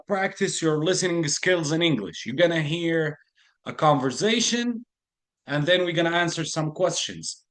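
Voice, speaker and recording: A man teaching an online English class, patiently repeating key phrases and explaining idioms, speaking into a computer mic. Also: a little high. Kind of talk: online lesson, explaining slowly. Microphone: computer mic on a video call, camera off.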